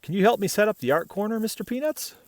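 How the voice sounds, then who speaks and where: A man voicing a kind, cheerful female squirrel schoolteacher in a children's storybook, gentle and encouraging. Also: sits high, lively intonation, quick pace, no room sound, voice pushed up. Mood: asking.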